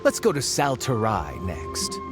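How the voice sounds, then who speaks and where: A man's voice: mid-range voice, wildly swooping pitch, a little slow. A man voicing a greedy, pompous male archaeologist who blusters and gets defensive. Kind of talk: game voice acting. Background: game music.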